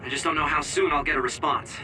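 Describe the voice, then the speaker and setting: An actor playing an eager young tech support teammate in an anime-style video game. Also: male voice, fairly high pitch, fairly even intonation, fast talker, over music, lightly acted, poor audio. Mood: helpful, frustrated.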